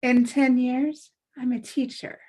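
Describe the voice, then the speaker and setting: An earnest young woman acted by a woman at a table read, torn and heartfelt. Her voice sits a little high and has a husky, breathy grain. She speaks plainly, as a conflicted character in a TV drama. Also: flat delivery, takes it slowly, a little mellow, full character voice. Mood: shy, reflective.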